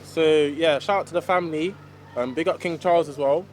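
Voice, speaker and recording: A young man talks casually and uses slang in a street interview. His voice sits slightly high, and faint street noise is heard behind him. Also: a little fast. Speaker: member of the public.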